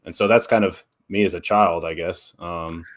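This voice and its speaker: Brief: low-voiced veteran man, relaxed. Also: moderate pace. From Texas and Oklahoma. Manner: many fillers and restarts, laughs easily. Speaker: guest, nonprofit founder and strength coach. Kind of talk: casual interview talk.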